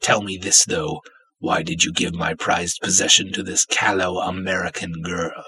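A man voicing a haughty, seductive undead ancient Egyptian princess in a dramatic story reading, cool, hushed and formal. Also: low voice, flat delivery, normal speed, breathy edge, pitched below the performer's own voice, full character voice, rough recording. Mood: cool, possessive.

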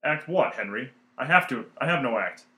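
A man plays a condescending talent agent in a radio drama. He is hard-nosed and businesslike, in a medium-pitched voice. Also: lively intonation, normal speed, pushed and bright. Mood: stern. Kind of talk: old-time radio play acting.

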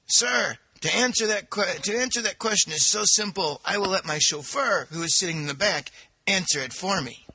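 A cheeky chauffeur with an odd put-on accent, pitched a little higher than the speaker's own voice, as a man acts him out in a joke. The tone is gravelly and bright, and the sound is dry and close. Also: normal speed, no echo, full character voice. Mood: playful. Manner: jokey put-on accent, slightly raised voice. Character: male chauffeur posing as a scientist.

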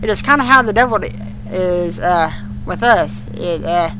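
A man co-hosting a podcast in casual chat, with a folksy, halting, colloquial way of talking. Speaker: podcast co-host. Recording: noisy recording with humming rumble.

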